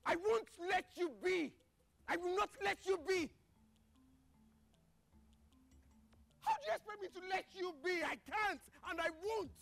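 A woman's voice: very high-pitched, moderate pace, creaky, fairly bright tone, heavily acted. A woman playing a desperate, defiant figure, insistent and wailing, in a melodrama.

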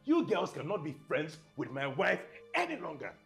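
A controlling Nigerian husband in a screen drama. He is indignant and bossy, his pitch swinging widely as he repeats his orders. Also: wildly swooping pitch, normal speed, creaky, soft background music, exaggerated voice. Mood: angry. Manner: indignant, commanding, repeats words.

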